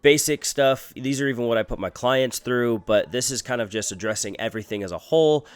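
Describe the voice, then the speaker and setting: A man's voice: medium pitch, a little fast, smooth. A young man vlogging casually with a stuffy, congested nose, upbeat and rambling with fillers. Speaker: fitness vlogger and trainer. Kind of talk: casual vlog and voice-over narration. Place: in a car.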